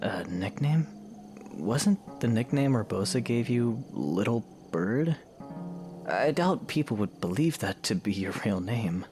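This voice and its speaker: An actor playing a reserved, awkward young male hero in a fan audio drama, speaking in a halting, sincere way. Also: lowish voice, animated, over music. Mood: puzzled. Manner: hesitant, soft-edged, halting.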